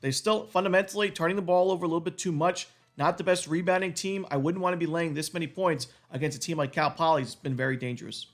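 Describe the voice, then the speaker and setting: A man with a cold, his voice going a little, giving a quick solo rundown of sports betting picks packed with stats. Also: on the high side, soft background music.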